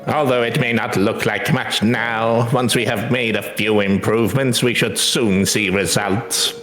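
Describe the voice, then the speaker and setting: A man's voice: medium pitch, fairly even intonation, measured pace, a bit bright, natural voice. Humble, earnest farmer , played by a man, game dialogue, formal and courteous, echoing room, light music. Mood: hopeful, thoughtful. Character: earnest, humble adult rice farmer, polite and enthusiastic. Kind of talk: scripted game dialogue read aloud. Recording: roomy echo, music behind.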